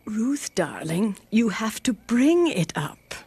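An actress playing a kindly but insistent old mother-in-law in an animated Bible story, coaxing firmly. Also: a little high, slow pace, slightly rough, soft background music, heavily acted. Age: older. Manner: coaxing, firm.

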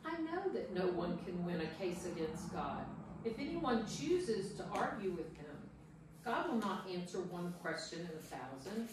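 Slow, anguished sufferer in an echoey room, read by a woman. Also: fairly low pitch, sing-song. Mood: resigned lament. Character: anguished sufferer, despairing man crying out against God. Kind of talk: dramatic scripture reading. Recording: echoey room from far off, faint noise.

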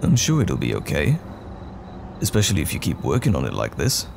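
A man acting as a tender, worried boyfriend in an intimate audio roleplay, speaking gently and soothingly to comfort a troubled partner at night. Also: a bass voice, huge pitch swings, a little fast, creaky, background noise, exaggerated voice. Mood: reassuring. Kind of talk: intimate roleplay audio drama, soft bedside talk.

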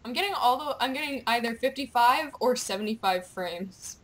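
A young woman chatting casually while gaming, excitable and playful, with goofy exclamations and bits of on-screen text read aloud. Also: a little high, normal speed. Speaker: gamer, video creator. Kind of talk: casual gameplay chat, reading quest captions aloud.

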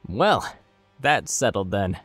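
A man's voice: on the low side, very animated, slow, a bit bright, dry recording, soft background music, full character voice. A man playing a wary, polite part-elf messenger in a fantasy audio drama, speaking in a matter-of-fact way. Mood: relieved.

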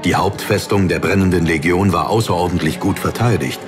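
Lowish male narrator over prominent music. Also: brisk. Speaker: audiobook narrator. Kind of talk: scripted fantasy narration.

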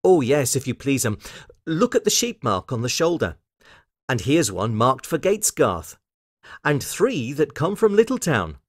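A man's voice: mid-range voice, big pitch swings, unhurried, no room sound, clean studio sound. A man voicing a fussy, eager little female hedgehog washerwoman from a children's storybook, with flustered, hesitant politeness. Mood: busy, chatty.

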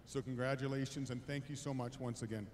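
A man's voice: mid-range voice, steady tone, fairly quick. A middle-aged man giving a formal ceremonial presentation speech on a handheld microphone. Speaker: team representative presenting an award. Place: on the ice in an indoor arena. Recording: echoing noisy arena PA.

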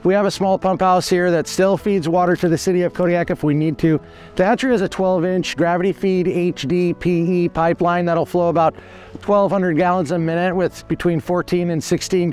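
A man's voice: high voice, darkish tone. A man in his forties explaining a fish hatchery outdoors by a creek, earnest and matter-of-fact with frequent restarts. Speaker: hatchery manager giving a tour. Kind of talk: on-location explanatory tour.

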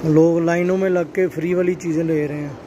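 A man's voice: on the high side, fairly even intonation, brisk. A man in his thirties, a travel vlogger giving a casual tour from a car and then inside a shopping mall, filmed on a handheld phone, often repeating a catchphrase as he points things out. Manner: repeats "check karein ji", chatty tour-guide narration. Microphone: handheld phone camera. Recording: background noise.

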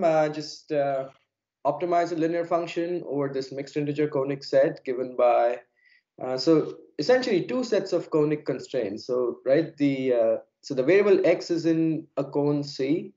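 A hoarse, unwell man giving an academic lecture over a video call, halting with many fillers and repeated words. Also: medium pitch, dark tone, dull audio. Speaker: plenary speaker.

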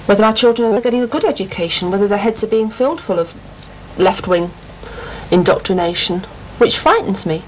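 A woman's voice: medium pitch, expressive, brisk, low-quality recording. A woman, firmly giving her opinions in a documentary interview at home. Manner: firm, opinionated. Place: room at home. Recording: old VHS tape with faint hiss.

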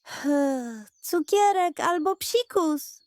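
A woman voicing a greedy, lazy male pug dog who gets indignant and whiny in a high, overexcited voice. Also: lively intonation, extremely slow, a little mellow, exaggerated voice. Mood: rehearsing.

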